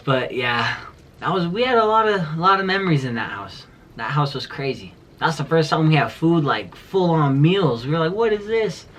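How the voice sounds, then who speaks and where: A young man in his twenties with a fairly high voice tells a family story for his vlog. He rambles casually, piles on fillers and keeps laughing between his sentences. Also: expressive, normal speed, fairly bright tone. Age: 20s. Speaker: vlogger.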